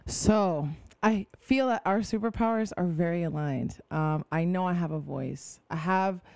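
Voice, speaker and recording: A young woman chats enthusiastically as a podcast guest, rambling and full of "like." Her voice is smooth and clear, recorded close and dry. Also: lowish voice, unhurried, darkish tone, no room sound. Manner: enthusiastic, rambling, many "like" fillers. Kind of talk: casual conversation.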